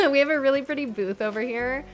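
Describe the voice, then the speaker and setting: A woman's voice: sits high, brisk. A young woman giving casual livestream commentary on a game, chatty, gushing and easily delighted, close on a microphone. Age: young adult. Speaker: streamer hosting a game island tour. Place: indoor streaming setup. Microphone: close microphone on boom arm. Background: soft game music.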